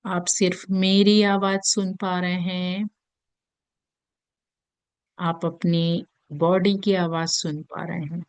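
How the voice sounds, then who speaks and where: A woman with an Indian accent leads a guided meditation in a gentle, soothing manner with long pauses. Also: lowish voice, level tone, smooth, no echo. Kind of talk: guided meditation in Hindi mixed with English.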